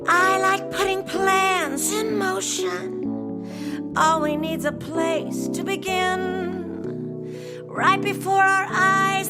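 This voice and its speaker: Woman as a coy, high-voiced, scheming young wife. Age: young adult. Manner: smooth, coaxing. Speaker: actor. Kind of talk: audio musical drama.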